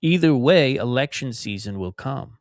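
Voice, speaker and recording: A man's voice: medium pitch, expressive, normal speed, no echo, clean studio sound. A middle-aged man from outside Philadelphia hosting a solo podcast, talking in a rambling, conversational way with emphatic repetitions. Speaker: podcast host.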